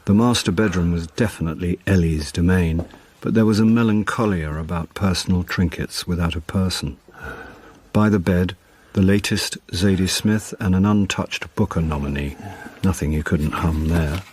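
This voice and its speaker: A deep-voiced, middle-aged amateur detective, played by a man in a radio drama. He is wry, understated and put-upon, both narrating and talking, with faint noise behind him. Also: normal speed, a little mellow, close to an everyday voice. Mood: understated, watchful. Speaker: narrator and lead character. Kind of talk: first-person radio drama narration and dialogue.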